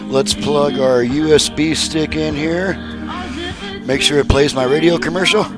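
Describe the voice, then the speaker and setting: A man casually demonstrating his repair work as the host of a video, chatty and folksy, with hesitant pauses. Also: a little high, fairly even intonation. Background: car radio playing music.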